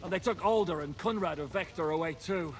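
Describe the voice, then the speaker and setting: A sober, level-headed medieval soldier voiced by a male actor for game dialogue. He speaks in a slightly high male voice with a measured, matter-of-fact manner, a little noise behind him. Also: natural voice. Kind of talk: dramatic video game dialogue.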